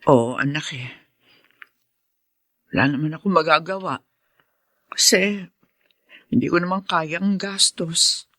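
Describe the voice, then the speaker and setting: A woman's voice: low-pitched, slow, long pauses, fairly bright tone, no room sound, studio quality, full character voice. A woman playing a caring, worried middle-aged Filipino mother in a radio drama. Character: caring, worried mother of grown children, poor.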